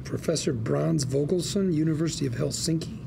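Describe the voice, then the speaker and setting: A man acting a struggling, street-smart lawyer and younger brother at a drama table read, colloquial, halting and pleading. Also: a little high. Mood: dry, wry. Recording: clearly noisy room recording.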